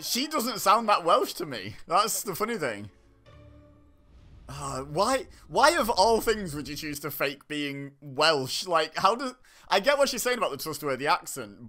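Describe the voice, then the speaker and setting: Bright-voiced, joking young man reacting. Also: a little high. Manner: chatty, joking, laughs often, self-interrupting. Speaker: online reaction host. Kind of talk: casual reaction commentary.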